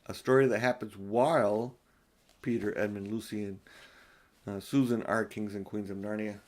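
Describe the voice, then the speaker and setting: A man's voice: fairly low pitch, fairly even intonation, normal speed, darkish tone. Older man reading a storybook. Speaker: audiobook reader. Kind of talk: storybook narration.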